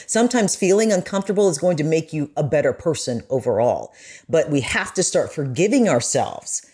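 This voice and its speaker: A woman's voice: low voice, darkish tone. A woman giving thoughtful, earnest advice in a fluent conversational style as a podcast panelist.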